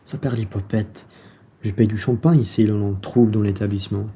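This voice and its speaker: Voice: mid-range voice, fairly even intonation, normal speed, slight background noise, natural voice. An actor playing a blunt, irritable, self-serving bourgeois traveller in a French radio play, with a brusque manner. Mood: pleased.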